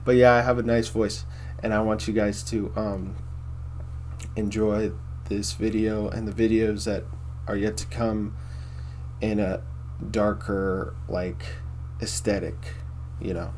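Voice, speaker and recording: A man with a baritone voice hosting a casual philosophy monologue, rambling and repeating himself with many fillers. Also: level tone, slow pace, darkish tone, slight background noise. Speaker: host.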